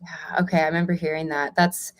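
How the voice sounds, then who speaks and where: A woman's voice: low-pitched, level tone, brisk. A woman with an American accent hosting a conversational interview as a reporter, in an informal, affirming manner.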